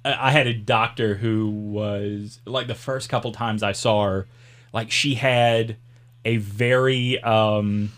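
A man's voice: on the low side, fairly even intonation, slow, a bit bright. A middle-aged man with a mild Southern American accent, hosting a casual podcast interview, chatty and rambling, laughing often. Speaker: podcast host.

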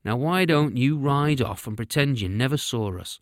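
A man's voice: medium pitch, animated, moderate pace, no echo, clean studio sound, lightly acted. A man voicing an arrogant, mocking male enemy captain in a storybook reading, drawing out his taunts. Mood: taunting. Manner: taunting, sneering.

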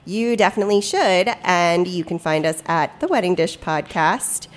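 A woman's voice: fairly low pitch, normal speed. A woman hosting a casual podcast chat, upbeat and chatty, a little stuffy with a cold. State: stuffy, slight cough. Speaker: podcast host and producer. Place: hotel studio.

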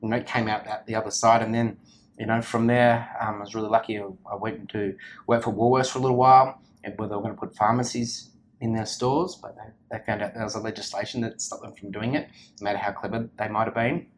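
A low-voiced Australian man speaks in a casual office interview, tumbling over his words with excitement and constant restarts. A faint hum of ambience sits behind him. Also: fairly quick, rough recording. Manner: energetic, rambling with many restarts and fillers. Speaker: company founder, guest.

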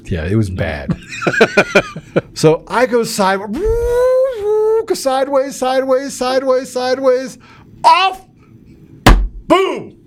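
A middle-aged man telling personal stories in a casual, colloquial talk-show chat, animated in his delivery. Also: very high-pitched, slow, long pauses, background noise. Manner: animated, colloquial storytelling with sound effects.

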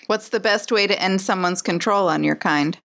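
A woman playing a tabletop game, talking casually in character in a polite, measured way. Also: mid-range voice, vocal fry, a bit bright. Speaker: player in a tabletop game podcast.

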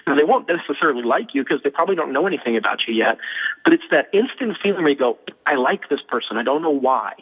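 A man's voice: a little high, expressive, quick pace, slightly rough. A man explaining persuasion techniques as a guest expert in a phone interview, in a fluent, teaching way with frequent ums. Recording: tinny phone line.

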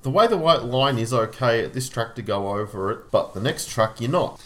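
A man's voice: mid-range voice, animated, normal speed. An Australian man co-hosting a casual podcast chat in a blunt, laid-back manner. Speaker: co-host.

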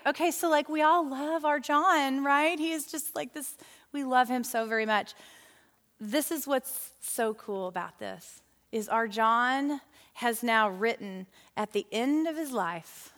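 Woman, Bible study teacher, higher voice, chatty and animated, long hall reverb. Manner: animated, chatty, with frequent fillers. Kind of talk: lively teaching talk to a women's Bible study group.

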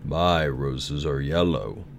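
Man acting a slow, deep, solemn rose tree. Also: vocal fry, slight background noise, heavily acted.

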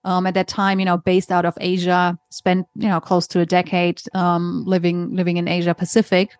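A woman in her forties from Germany with a German accent, speaking as a guest in an interview in a relaxed, chatty way with frequent fillers. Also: on the low side, normal speed, dry recording. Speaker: guest author. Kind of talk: conversational interview.

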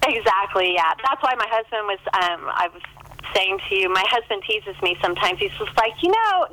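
A woman's voice: medium pitch, lively intonation, brisk, pushed and bright, slight background noise, low-quality recording. A woman author talking casually about her work as an interview guest, chatty and rambling, often quoting imagined dialogue.

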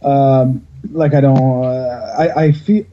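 A man's voice: mid-range voice, fairly even intonation, slow, dull audio. A man from Canada chatting casually and jokingly, rambling with fillers and restarts. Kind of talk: casual chat.

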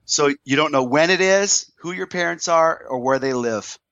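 A hoarse man from Iowa, a podcast guest, telling stories in a lively, joking way. His voice sits at a middle pitch. The sound is dry and a little dull. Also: moderate pace, dry recording. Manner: animated, joking storyteller. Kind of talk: casual chat.

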